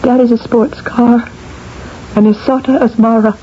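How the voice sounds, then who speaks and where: An actress playing an effusive, excitable wife with a gushing, theatrical manner in an old-time radio drama. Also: female voice, fairly high pitch, measured pace, lightly acted. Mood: puzzled, excited. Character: effusive, excitable wife, formerly envious of her rich sister. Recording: dull, hissy old radio recording.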